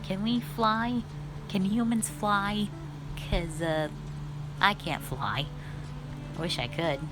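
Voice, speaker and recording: A woman voicing a sassy, cute, playful lamia in a roleplay audio, chatting bluntly and haltingly to the listener. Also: mid-range voice, natural voice. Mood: eager, persuading. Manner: chatty, halting, blunt teasing with eager bursts. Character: sassy, cute female forest lamia, playful half-snake monster. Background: background music.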